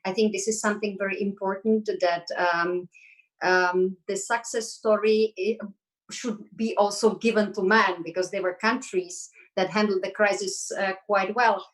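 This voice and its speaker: Czech-born woman, earnest and halting. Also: medium pitch, fairly even intonation, poor audio. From Czech Republic. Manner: earnest, hesitant with many fillers. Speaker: panel guest. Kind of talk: online panel discussion. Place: Belgium.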